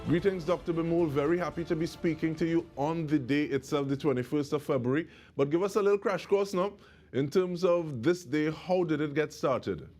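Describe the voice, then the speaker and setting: A man's voice: a little high, brisk, darkish tone, soft background music. A man, speaking as the host of a broadcast interview.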